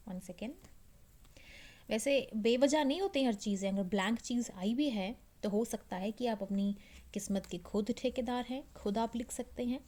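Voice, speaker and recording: Slow-talking Indian woman reading cards. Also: a little high, darkish tone. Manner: mixes Hindi with English phrases. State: slightly sore throat. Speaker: tarot reader and channel host. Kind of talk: casual card reading addressed to viewers.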